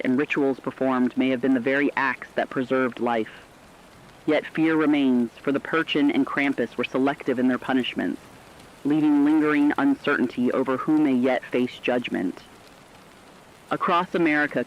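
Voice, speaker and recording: A man's voice: medium pitch, slight background noise, lightly acted. Solemn lo-fi radio horror anchor, acted by a man. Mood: somber, ominous. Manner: solemn, portentous, formal. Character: male radio anchor solemnly addressing listeners about horror. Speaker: radio host. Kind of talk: scripted horror radio broadcast narration.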